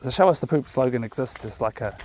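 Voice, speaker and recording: A man's voice: mid-range voice, animated, fast, darkish tone, slight background noise. Laid-back New Zealand man rambling outdoors. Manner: laid-back, chatty, jokey asides. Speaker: vlogger walking his dog. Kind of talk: casual rambling monologue. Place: outdoor park walking trails. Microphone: chest-mounted camera.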